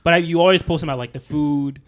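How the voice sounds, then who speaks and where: A male podcast host talks in a casual, conversational way as he interviews a guest. His voice is slightly high for a man, and he sounds like someone chatting rather than presenting. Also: steady tone, fast talker, clean tone. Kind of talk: casual chat, asking questions.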